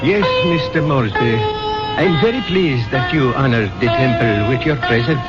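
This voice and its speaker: A man's voice: medium pitch, lively intonation, natural voice. A male actor plays a serene, enigmatic guru in an old radio drama. He speaks formally and solemnly, with a widely varied melody. The recording is muffled, with prominent music underneath. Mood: courteous, serene. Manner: formal, measured, solemnly certain. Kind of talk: 1970s radio drama acting. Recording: dull vintage radio recording, loud music behind.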